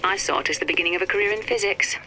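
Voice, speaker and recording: An actress playing a cool, erudite, wry and melancholy physicist in a game audio log, speaking in precise, measured, formal phrases. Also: female voice, medium pitch, lightly acted. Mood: wry, reflective. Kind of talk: scripted dramatic audio-log monologue for a game. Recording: noisy, crackly vintage audio-log recording.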